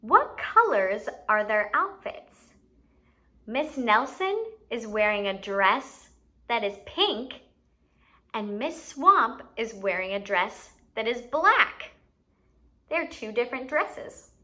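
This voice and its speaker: A woman's voice: a little high, big pitch swings, slow pace, sharp tone. A woman hosting a children's educational activity, playfully giving instructions and asking questions as if to young kids. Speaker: children's story time host and teacher.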